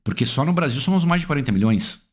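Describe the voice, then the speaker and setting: Brazilian male legal analyst, animated intonation, rhetorical questions, emphatic lecturing delivery. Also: fast. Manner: asks rhetorical questions and answers them; emphatic, swings pitch up and down for stress.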